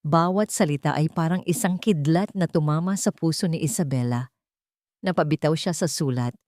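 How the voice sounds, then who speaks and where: A woman's voice: sits low, moderate pace, a little mellow, dry recording, studio quality. A woman with a Filipino accent reading a scripted romantic story as a dramatic narrator.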